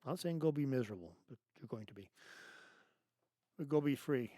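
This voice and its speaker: A man hosting his own show, delivering an emphatic political monologue full of rhetorical questions and restarts, his voice going hoarse. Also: medium pitch, lively intonation, brisk, warm tone, no echo, clean studio sound. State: losing his voice. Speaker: podcast host.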